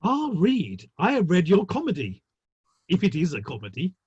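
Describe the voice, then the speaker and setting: A dry, arch gentleman of the Victorian theatre world played by a man, husky and animated in delivery. He gossips with urbane relish in a dramatic play reading, heard through dull, roomy online audio. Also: high-pitched, moderate pace, heavily acted. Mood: amiable, teasing.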